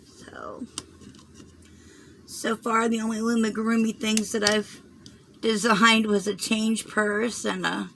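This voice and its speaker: Southern lady teaching a loom bracelet, hoarse and croaky, slow easy talk. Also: on the high side, level tone, a bit bright, slight background noise. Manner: rambling, clears throat often. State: hoarse, fighting a cold. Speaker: craft tutorial host. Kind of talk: chatty loom tutorial.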